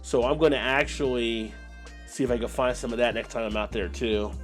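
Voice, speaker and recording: A man's voice: medium pitch, brisk, soft background music. An American man hosting a casual live stream, talking to viewers in a rambling, conversational way. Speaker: beer channel live stream host.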